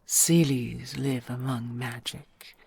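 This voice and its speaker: A woman playing a possessive, doting dragon queen in an audio roleplay, speaking in a breathy, creaky near-whisper with regal, formal tenderness. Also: rumbling low, steady tone, heavily acted. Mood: earnest, possessive. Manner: slow, regal, formal endearments with soft sighs.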